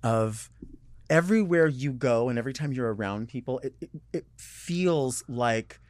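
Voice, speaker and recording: A man's voice: mid-range voice, lively intonation, normal speed, a little mellow, no echo, clean studio sound. A man hosting a casual talk show, campy and playful in manner. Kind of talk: casual chat.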